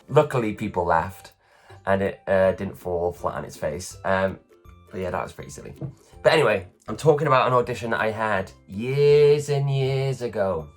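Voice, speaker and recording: A man in his thirties from England, telling self-deprecating stories in a casual morning vlog, his voice low and worn after a late night. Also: expressive, normal speed, faint music behind. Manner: chatty, self-deprecating, acts out others' lines. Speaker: vlogger, performer. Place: at home.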